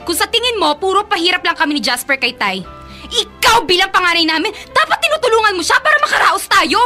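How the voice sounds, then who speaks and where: Defiant sister, Filipino accent, sarcastic, swooping high voice, radio drama, faint music. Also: female voice, sing-song, fast, bright, forceful tone, exaggerated voice. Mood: sarcastic, challenging. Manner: sarcastic, challenging. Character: defiant younger sister talking back to eldest brother.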